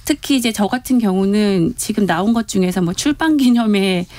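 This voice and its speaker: A woman's voice: medium pitch, brisk, mellow, no room sound. A woman speaking conversationally as a political aide on a radio panel, careful and diplomatic in how she puts things. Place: radio studio.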